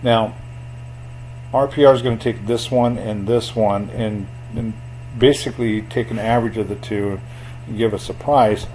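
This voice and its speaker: A man walking a viewer through comparing property sales on screen, in an plain, unhurried way with fillers and a voice he calls boring. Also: lowish voice, steady tone, darkish tone, slight background noise, poor audio. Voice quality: self-described boring voice. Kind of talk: screen walkthrough tutorial.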